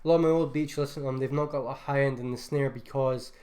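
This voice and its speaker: A man's voice: mid-range voice, fairly even intonation, rapid-fire, a little mellow. A man, a music producer, reviewing beats in a casual livestream, laid-back and enthusiastic, loose with fillers. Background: faint beat music.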